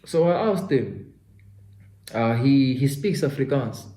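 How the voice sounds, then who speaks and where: A young South African man in his twenties, a native Zulu speaker, with a soft, mellow tone. He talks earnestly to the listener like a friend. His voice carries a roomy echo from a home studio. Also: medium pitch, expressive, slow. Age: 20s. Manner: earnest, talks straight to the listener, calls him "my guy". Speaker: self-development vlogger. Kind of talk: motivational storytelling vlog.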